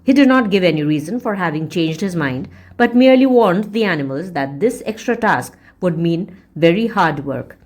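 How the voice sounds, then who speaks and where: A woman reading a story aloud as a teacher would, in an expressive, deliberate and emphatic read-along style. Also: on the low side. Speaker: audiobook reader and English teacher.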